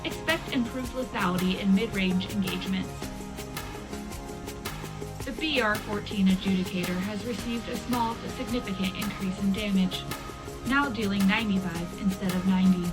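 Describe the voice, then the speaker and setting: A woman playing a wartime radio news presenter, reading a scripted military bulletin in a measured, formal announcer delivery. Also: mid-range voice, lightly acted. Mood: composed, official. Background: loud music bed.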